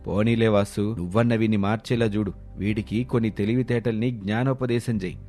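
A man's voice: on the low side, steady tone, brisk, dark tone, soft background music, lightly acted. A man playing a stern but fond, worried middle-aged village father in a dramatised moral story, chiding and instructing.

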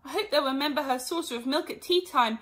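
A woman's voice: high-pitched, fast talker, fairly bright tone, pitched above the performer's own voice. A woman voicing a curious, chatty young girl who muses and wonders to herself in a storybook reading. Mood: wistful, musing. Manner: wondering, musing, talking to herself.

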